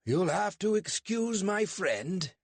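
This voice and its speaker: A man's voice: high voice, big pitch swings, slow, breathy edge, no echo, voice pushed up, full character voice. A man voicing an American operative faking a British accent, evasive and cheeky.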